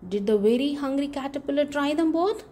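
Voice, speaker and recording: A woman reading a picture book aloud to young children in an expressive, deliberate way, stressing key words and posing questions to her little listeners. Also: high-pitched. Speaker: children's book reader, channel host.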